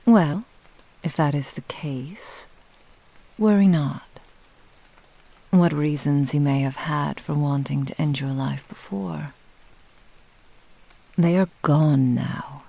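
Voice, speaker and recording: A woman playing a possessive, doting fox-spirit witch in an intimate ASMR roleplay, teasing in formal old-fashioned phrasing and often dropping into breathy whispers. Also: low voice, slow pace, pauses often, warm tone, heavily acted, poor audio. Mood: possessive, soothing. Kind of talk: ASMR roleplay, intimate scripted monologue to the listener.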